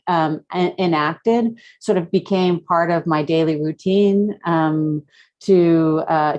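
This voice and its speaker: A woman with a slightly low voice speaks as a guest in a casual, reflective discussion. She feels her way through her thoughts haltingly, searching for the right word. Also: a little mellow, muffled recording. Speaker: art gallery director and curator, guest speaker. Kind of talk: casual reflective panel talk.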